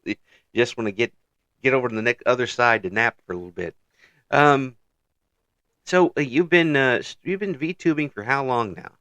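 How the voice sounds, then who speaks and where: A man's voice: medium pitch, normal speed, pauses often, a bit bright, no room sound. Easygoing male interview host. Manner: easygoing, chuckles often, restarts phrases. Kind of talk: casual interview chat.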